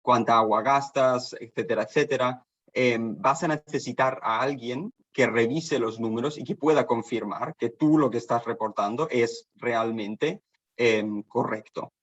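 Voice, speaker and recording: A man's voice: mid-range voice, a little slow, lo-fi sound. A man from Barcelona, half Danish, with a Spanish accent, giving a seminar talk as a guest consultant, with many fillers and restarts. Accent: Spanish from Spain. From Spain (Barcelona), half Danish. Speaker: guest lecturer, sustainability consultant. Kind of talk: online seminar presentation. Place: office in Copenhagen.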